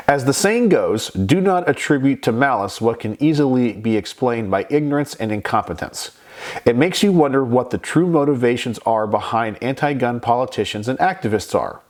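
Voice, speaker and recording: A man hosting his own channel, talking casually to the camera, a bit raspy and stuffed up from a sinus infection, measured and explanatory. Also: mid-range voice, a little mellow. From Texas. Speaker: channel host.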